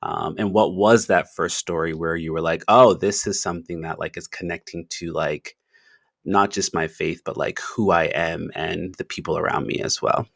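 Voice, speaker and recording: Deep gravelly man host. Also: steady tone, moderate pace, a bit bright, no echo, clean studio sound. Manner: hesitant, many fillers, thinks aloud. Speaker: podcast host. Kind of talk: interview conversation.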